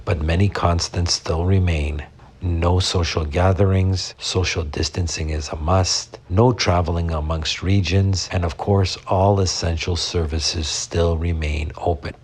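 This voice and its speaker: Guest, male, from Canada: deep and husky, earnest, steady. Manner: steady, earnest, advice-giving. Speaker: guest, drugstore worker. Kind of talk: prepared personal statement.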